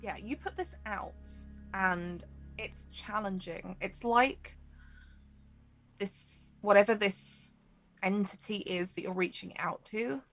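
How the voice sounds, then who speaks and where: A woman's voice: medium pitch, slow, fairly bright tone, faint music behind, rough recording. A woman narrating a tabletop role-playing game as its game master.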